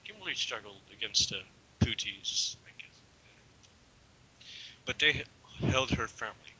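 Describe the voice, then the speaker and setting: A man's voice: mid-range voice, animated, a little slow, pushed and bright, slight background noise. A young man giving an amateur dramatic reading of a story, stumbling now and then and slipping into casual asides. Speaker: reader. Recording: dull lo-fi home recording.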